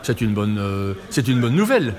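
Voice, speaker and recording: A man's voice: fairly low pitch, sing-song, normal speed, a little mellow. A man presenting and asking questions as a radio interview host.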